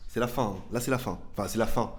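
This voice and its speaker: A man's voice: lowish voice, fast talker, a little mellow. Casual rambling man with a cold. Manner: rambling, loose and chatty, with slang and swearing. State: has a head cold. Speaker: YouTube tech channel host answering viewer questions. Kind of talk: casual off-the-cuff chat.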